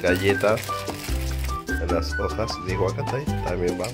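A man's voice: deep voice, unhurried, over loud music. A man with a Peruvian Spanish accent hosting a casual food vlog, playful and colloquial as he talks straight to viewers. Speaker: food vlogger and host. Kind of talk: casual cooking vlog chat.

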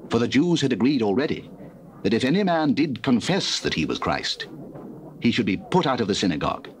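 A man's voice: medium pitch, very animated, brisk, a little hiss or hum. A man giving scripted scripture narration on an old cassette recording.

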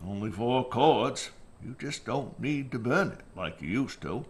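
An actor playing a solitary, weathered survivor dictating a log in an audio drama. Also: male voice, medium pitch, animated, a little slow, close to an everyday voice. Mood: weary, matter-of-fact.

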